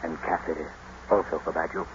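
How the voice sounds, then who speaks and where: An actor playing a brooding, vengeful master of a moorland house in old radio drama style, commanding and deliberate. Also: male voice, low voice, level tone. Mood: smooth, seductive. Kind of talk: 1940s-50s radio drama acting. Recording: muffled, noisy old radio broadcast.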